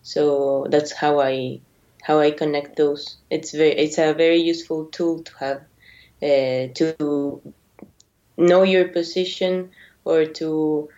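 A woman's voice: low voice, fairly even intonation, slow, dark tone. A young woman from Argentina raised in Mexico, a native Spanish speaker, speaking English in an interview with many fillers and restarts. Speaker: guest. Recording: dull lo-fi audio.

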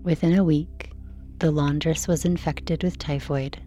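Low, mellow woman narrating a sleep story. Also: steady tone, measured pace, faint music behind. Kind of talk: slow, soothing scripted bedtime narration.

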